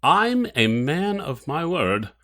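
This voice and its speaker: Cold audio drama villain: formal, measured, mocking, with widely swinging intonation. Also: male voice, fairly high pitch, big pitch swings, a bit bright, exaggerated voice. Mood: cold menace. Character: menacing, cold, mocking villain, adult man.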